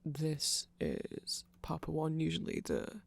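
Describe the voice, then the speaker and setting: Creaky-voiced ASMR rambler, sex unclear. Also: mid-range voice, big pitch swings, takes it slowly. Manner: nerdy, self-deprecating, digressive. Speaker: ASMR creator explaining band lore. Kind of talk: casual rambling ASMR explainer.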